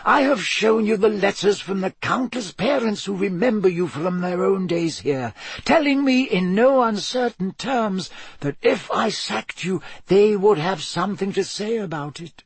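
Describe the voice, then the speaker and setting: A man voicing an elderly headmaster with a dry, ironic, measured way of talking. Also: high-pitched, lively intonation, slightly rough, dry recording, voice pushed up, exaggerated voice. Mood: wry. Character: elderly male headmaster, wry and gently stern.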